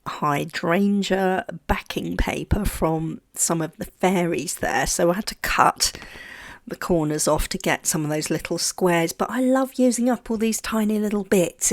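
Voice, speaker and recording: A woman with a congested voice from a heavy cold, chatting casually about her crafting and stumbling over her words. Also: on the low side. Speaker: craft vlogger. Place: home craft table.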